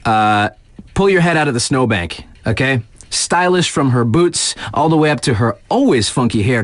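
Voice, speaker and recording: An actor playing a laid-back but excitable young radio DJ in a video game, hyping snowboard competitors in playful, conversational slang. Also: male voice, medium pitch, no echo, natural voice. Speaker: radio DJ and sports announcer.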